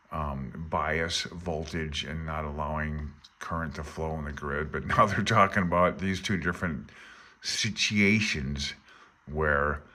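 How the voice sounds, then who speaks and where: A man with a very deep voice that still has a bright, forceful edge. He talks like an informal instructor, alternating between reading aloud and explaining in his own words. His delivery is folksy and offhand, as if thinking out loud. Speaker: instructor reading through a radio handbook.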